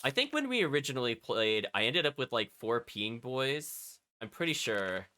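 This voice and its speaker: A man's voice: medium pitch, big pitch swings, moderate pace, bright, forceful tone. A man streaming a video game, reading its text aloud and chatting with casual asides to his viewers. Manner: chatty, often commenting as an aside.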